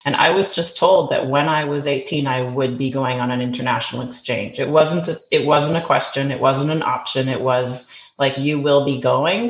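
A Canadian woman, a podcast guest, telling stories about her childhood in a chatty way and restarting sentences as she goes. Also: a bass voice. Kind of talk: conversational interview. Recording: roomy, dull remote recording with faint noise.

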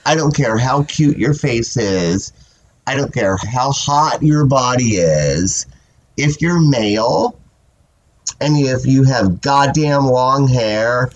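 A man hosting a podcast and chatting casually in a sassy, animated way, swearing often and sounding stuffy from a cold. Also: medium pitch, slow, highs cut off. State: stuffy with a cold, sore throat. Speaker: podcast host. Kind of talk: casual chat.

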